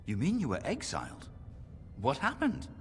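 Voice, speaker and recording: An actor playing a startled, excitable soldier in a fantasy video game. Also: male voice, mid-range voice, moderate pace, faint music behind. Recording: long reverb.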